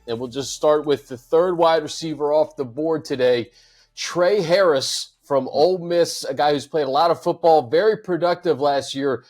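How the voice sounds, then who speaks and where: Male sports podcast host over faint music. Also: a little high. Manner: smooth, even, lays out points in steady lists.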